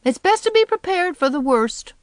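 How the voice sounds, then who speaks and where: A woman's voice: very high voice, very animated, normal speed, dry recording, clean studio sound, pitched above the performer's own voice. A woman voicing a sour, gloomy spinster in her fifties, scornful and blunt, with folksy rural phrasing, in an audiobook. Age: 50s. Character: sour, contemptuous, gloom-loving 57-year-old spinster. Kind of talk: audiobook character voice.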